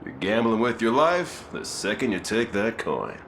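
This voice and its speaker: Cocky game mercenary, low voice, super animated, sardonic. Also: male voice, normal speed, a little hiss or hum. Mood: wry defiance. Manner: sardonic, colloquial. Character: cocky captured male mercenary, wry and unrepentant. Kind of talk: video game voice acting.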